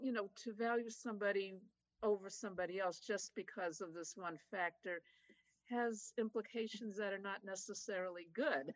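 A woman with a Boston accent, talking as a guest in a casual conversation, chatty and quick to laugh. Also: mid-range voice, no room sound, dull audio. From United States. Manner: chatty, laughs easily, many fillers.

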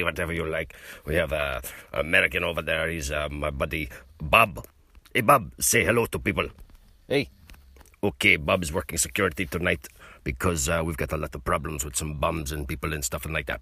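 A man's voice: sits low, sharp tone, no room sound, studio quality. A man doing a rambling mock-show host. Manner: rambling, halting, many fillers. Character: mock Russian man hosting a comedy show. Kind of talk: improvised comedy monologue.

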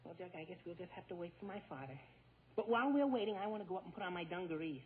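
A man's voice: high voice, animated, rapid-fire. A man playing a lovestruck, easily flustered teenage boy in 1940s radio comedy style, gushing and stammering. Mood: eager, pleading. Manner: gushing, stammering, exaggerated exclamations. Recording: tinny, noisy 1940s radio broadcast.

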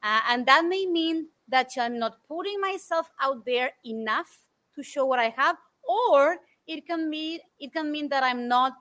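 A woman in her forties from Argentina, guest on an interview show, telling stories in an animated, thoughtful way with many fillers. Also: high-pitched, unhurried. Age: 40s. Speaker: guest speaker. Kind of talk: interview answers, storytelling.

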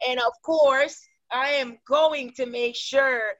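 A woman from Haiti, a business owner and podcast guest, talking in lively quick bursts and laughing often. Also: sits high, no echo, lo-fi sound. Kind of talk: casual interview chat.

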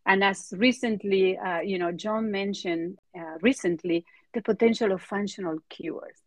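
A middle-aged woman with an Argentine accent and Spanish as her first language, answering interview questions conversationally in halting phrases with repeated words. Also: medium pitch, no room sound. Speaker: interview guest, biotech executive.

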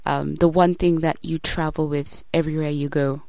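A woman with a deep-set voice hosts a podcast. She interviews in a conversational, informal style, her pitch well below most women's. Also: flat delivery, moderate pace, creaky, dark tone. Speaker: podcast host.

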